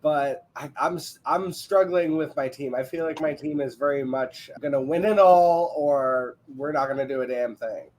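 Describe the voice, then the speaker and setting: A laid-back man from near Montreal talks with an accent that sits between English and French Quebec. He jokes and pokes fun at himself while chatting casually as a podcast guest. His voice sits a little high. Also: moderate pace, few pauses, darkish tone, slight background noise. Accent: Canadian French-English mix, Quebec. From Canada. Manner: laid-back, joking, self-deprecating. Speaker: guest analyst.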